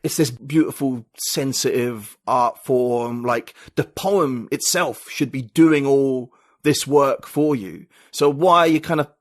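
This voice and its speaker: A man from the UK talks through his ideas in a loose, rambling way, full of restarts and fillers as he thinks aloud. His voice sits at a middle pitch. The sound is close and dry, with no room echo. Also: takes it slowly, hardly any pauses. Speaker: podcast guest, writer and poet. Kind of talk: casual conversational interview.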